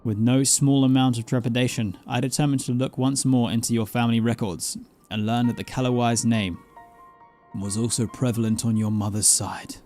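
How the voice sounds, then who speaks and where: A man voicing a grave, scholarly mentor from a fantasy game, telling dark lore in a formal, deliberate storytelling manner. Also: medium pitch, fairly even intonation, close to an everyday voice. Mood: grave, explanatory. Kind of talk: dramatic reading of fantasy game dialogue. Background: game music.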